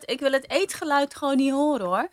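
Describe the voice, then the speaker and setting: A woman's voice: high voice, lively intonation, fairly quick, noisy background. A woman with a Netherlands Dutch accent, hosting a casual podcast chat in a teasing, probing way. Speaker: podcast host and journalist.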